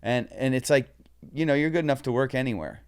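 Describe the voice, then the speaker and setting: A man talks casually on a podcast, a comedian who swears freely and acts out little quoted asides as he tells stories. His voice is smooth and clean, at an ordinary middle pitch for a man. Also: fast. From Southern California.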